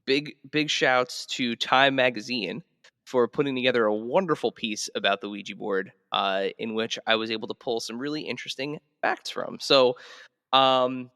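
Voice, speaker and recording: A man's voice: medium pitch, moderate pace, gravelly, sharp tone, no room sound, clean studio sound. A man hosting a podcast in casual chat, talking in rambling, hesitant phrases with repeated words. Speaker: podcast host.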